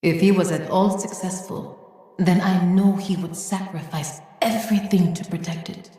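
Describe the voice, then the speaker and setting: An actress playing a wise, gentle woman who remembers a lost age, telling it in a measured, solemn way for a video game. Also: on the low side, fairly even intonation, close to an everyday voice. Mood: wistful. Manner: measured, reflective, solemn storytelling. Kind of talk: dramatic video game monologue. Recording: echoey hall with long reverb.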